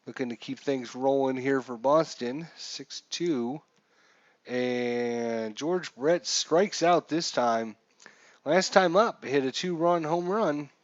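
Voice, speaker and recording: A man streaming casual play-by-play commentary of a baseball game, with announcer-like calls and pauses. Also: medium pitch, dry recording, highs cut off. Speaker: live streamer, host.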